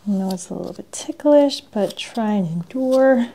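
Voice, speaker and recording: A woman performs an ASMR role-play with a mellow, gentle voice. She gives polite instructions as a patdown agent, often dropping into a whisper. There is faint noise in the recording. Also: medium pitch, expressive, moderate pace, gravelly.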